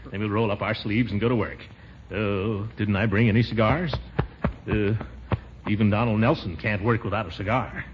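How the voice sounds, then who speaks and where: A man's voice: on the low side, steady tone, normal speed, natural voice. An actor playing a blustery, self-important middle-aged American man in 1940s radio comedy, pompous and easily exasperated. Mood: affable, pleased. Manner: pompous, with chuckles and sputtering outbursts. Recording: muffled, noisy 1940s radio recording.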